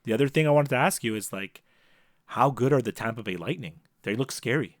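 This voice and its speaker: A man's voice: medium pitch, fairly quick, dry recording. Man chatting sports, dry close sound. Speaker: sports podcast co-host. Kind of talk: casual sports chat.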